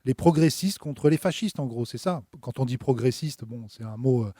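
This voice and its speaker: A man's voice: medium pitch, lively intonation, moderate pace, mellow, no room sound, clean studio sound. A man in a political debate, reasoning aloud with many hesitant fillers.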